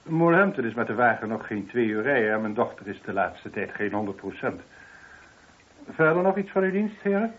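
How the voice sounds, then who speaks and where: A man's voice: medium pitch, big pitch swings, fairly quick, husky. An actor playing a haughty, cool middle-aged businessman in a radio play, formal, sarcastic and condescending. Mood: coldly irritated. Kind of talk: radio drama acting. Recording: dull, lo-fi old radio recording.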